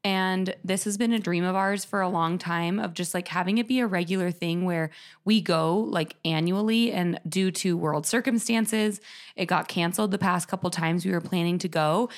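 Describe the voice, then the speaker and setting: Female podcast co-host, slightly low voice, bubbly and relaxed. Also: normal speed. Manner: bubbly, relaxed, with many fillers. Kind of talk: casual chat.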